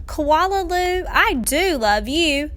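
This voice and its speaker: A woman voicing a gentle, loving mother koala in a storybook, with drawn-out affectionate sing-song delivery. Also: high voice, lively intonation, voice pushed up, exaggerated voice. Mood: tender, adoring.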